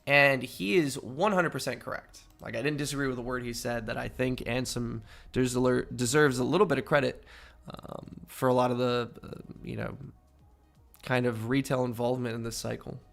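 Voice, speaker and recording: Male streamer host with a gravelly, mid-pitched voice, slightly nasal from a cold, walking viewers through chart analysis. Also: fairly even intonation, normal speed, fairly bright tone, faint music behind. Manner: explanatory, often adds "right?". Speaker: crypto trading livestream host. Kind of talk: casual chart analysis with chat.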